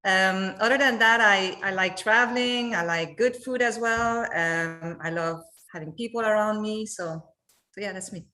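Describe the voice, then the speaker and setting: A woman's voice: medium pitch, moderate pace, sharp tone. Woman in her forties, panel guest, laughs a lot, many restarts. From Montreal, Canada. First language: French. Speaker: company executive guest. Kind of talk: casual panel discussion.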